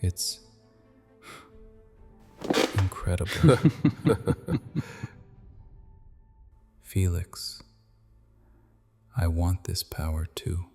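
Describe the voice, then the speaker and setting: A man voices an ancient vampire prince with courtly, amused charm. His voice is low, gravelly and mellow, slow of pace, and a long hall echo and soft music surround him. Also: lively intonation, full character voice. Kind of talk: ASMR audio drama.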